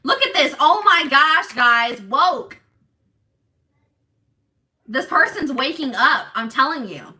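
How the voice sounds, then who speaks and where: A woman doing a casual tarot reading for her viewers, talking in an assertive, loud way with lots of restarts and "like". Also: high-pitched, slow pace, long pauses. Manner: animated, many restarts and fillers. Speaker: tarot reader.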